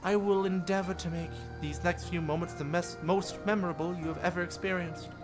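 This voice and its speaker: A man's voice: high-pitched, fairly even intonation, a little fast, music in the background, close to an everyday voice. A man voicing a courteous, reassuring female mermaid with florid, formal phrasing in a visual novel game. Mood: gracious, coaxing.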